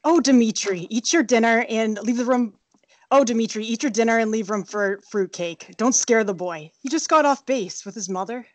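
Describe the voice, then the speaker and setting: A woman's voice: a little high, normal speed, dry recording, lightly acted. An actress playing a protective, chiding aunt in her early fifties in a screenplay table read. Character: protective, chiding aunt aged fifty-two.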